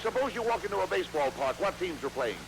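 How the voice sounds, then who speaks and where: Fast, gravelly straight man on old radio. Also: high-pitched, sing-song. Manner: exasperated, placating straight man. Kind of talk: 1940s radio comedy. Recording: noisy old radio broadcast.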